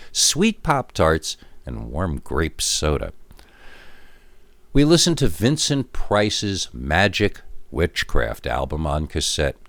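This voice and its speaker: A man narrating a scripted first-person scary story in an expressive, emphatic storytelling manner, drawing out key words. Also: sits low, no echo.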